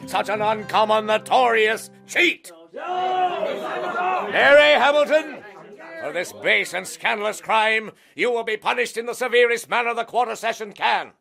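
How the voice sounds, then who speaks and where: Severe, creaky judge. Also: male voice, animated, measured pace, music in the background, exaggerated voice. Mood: solemn, severe. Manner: booming, solemn, outraged. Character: severe, pompous male judge passing sentence. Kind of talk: period radio courtroom drama.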